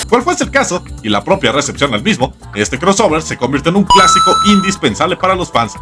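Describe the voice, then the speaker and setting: A man hosting a scripted comedic video essay with wry, theatrical emphasis. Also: a little high. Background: background music.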